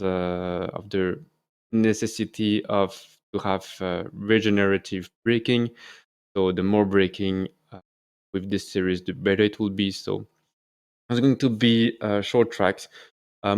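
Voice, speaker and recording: A man with a French accent talks to his viewers in a casual solo video. His voice sits fairly low and sounds smooth and clear. He hesitates often, filling gaps and correcting himself as he works through English, which is not his first language. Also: darkish tone. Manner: hesitant, many fillers and self-corrections. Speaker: YouTube host.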